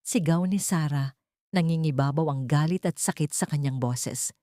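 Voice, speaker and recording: Woman, audiobook narrator, scripted dramatic storytelling, low voice, dry close mic. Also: normal speed, mellow, no room sound.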